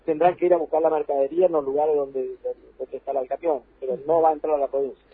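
A man's voice: on the high side, fairly even intonation, quick pace, slightly rough, no echo. An Argentine man, a provincial health official, explaining rules insistently in a radio interview by phone, repeating points for emphasis. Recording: dry, tinny phone line.

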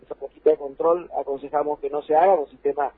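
A man's voice: a little high, steady tone, fast talker, slightly rough, no echo. An Argentine man, a provincial health official, explaining rules insistently in a radio interview by phone, repeating points for emphasis. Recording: dry, tinny phone line.

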